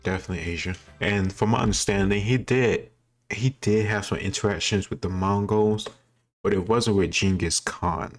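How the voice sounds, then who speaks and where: A man's voice: fairly low pitch, fairly even intonation, moderate pace, soft background music. An American man chatting casually as he gives rambling, opinionated reaction commentary and often corrects himself. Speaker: host reacting to a history quiz video.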